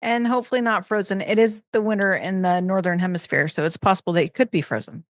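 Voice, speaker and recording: A woman's voice: medium pitch, fairly even intonation, fast, no room sound, clean studio sound. A woman co-hosting a podcast in casual chat, playful and teasing, laughing easily. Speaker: podcast co-host.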